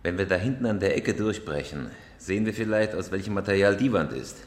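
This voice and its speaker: Terse, commanding crime boss. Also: male voice, sits low, lively intonation, a little fast. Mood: tense, focused. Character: hard-boiled male gang leader, gruff and commanding. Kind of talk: crime radio drama acting. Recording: music behind the voice.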